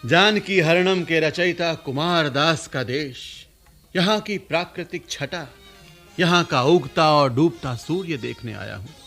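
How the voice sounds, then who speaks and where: An actor playing an elderly, learned poet-guru travelling in disguise, speaking in a theatrical, courtly, deliberate way in a classical radio play. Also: male voice, a little high, animated, fairly bright tone, faint music behind, exaggerated voice. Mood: courtly, genial. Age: older.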